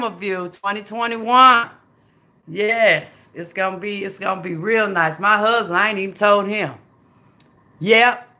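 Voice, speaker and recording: A woman's voice: medium pitch, bright, forceful tone. A woman in her fifties from Memphis with a Southern accent, chatting folksily with her livestream viewers and calling them baby and girl. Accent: Southern American. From Memphis, USA. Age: 50s. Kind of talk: casual livestream chat.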